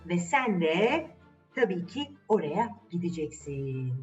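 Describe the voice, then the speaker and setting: A woman's voice: lowish voice, very animated, slow pace. A firm, no-nonsense aunt from a children's storybook, voiced by a woman storyteller. She explains things plainly. The recording is a little distant and dull, with light music. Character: firm, matter-of-fact aunt sending her niece away.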